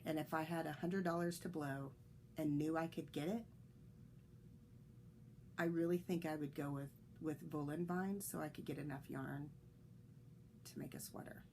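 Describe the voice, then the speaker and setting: A woman's voice: low-pitched, steady tone, moderate pace, pauses often, a little mellow, slight background noise. A woman hosting a knitting podcast, chatting in a rambling way with fillers and restarts, a little congested. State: slightly congested, coughing. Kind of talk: casual solo podcast chat.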